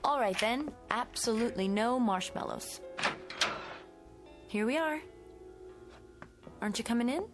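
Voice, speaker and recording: An actress playing a kind, slightly uneasy schoolteacher in her twenties in a radio drama, talking in a gentle, coaxing way. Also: fairly high pitch, expressive, unhurried, pauses often. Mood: friendly. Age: young adult. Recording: radio drama with prominent music underneath.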